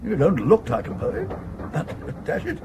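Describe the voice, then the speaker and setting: An actor playing an absent-minded, irritable old earl in a radio comedy, halting and stammering, with an upper-class English accent. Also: male voice, a little high, huge pitch swings. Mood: affable, befuddled. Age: older. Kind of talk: 1980s radio comedy acting. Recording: noisy old radio drama recording.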